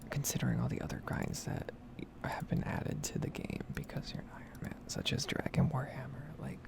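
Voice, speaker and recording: A woman speaking in a breathy whisper, rambling casually with some swearing in an ASMR gaming video. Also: extremely low pitch, fairly quick.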